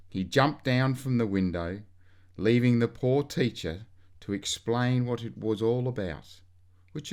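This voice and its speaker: Slow, animated male children's storyteller. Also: lowish voice. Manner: expressive, drawn-out emphasis on key words. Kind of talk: scripted read-aloud of a children's storybook.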